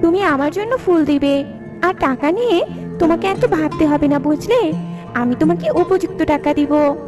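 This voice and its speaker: A woman's voice: high voice, normal speed, close to an everyday voice. A woman voicing a gracious, commanding parrot queen in a children's cartoon. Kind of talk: children's cartoon character dubbing. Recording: music behind the voice.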